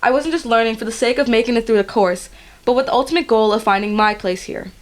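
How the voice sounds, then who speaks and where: A young woman from Guyana reads her college admissions essay in an earnest, steady voice. Her voice sits a little higher than most. She is a guest on a podcast. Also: fast talker. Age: young adult.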